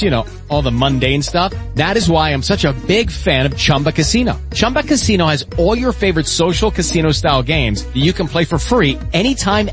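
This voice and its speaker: A man giving a chatty, upbeat modern advert read as a casual spokesman. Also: fairly high pitch. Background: music.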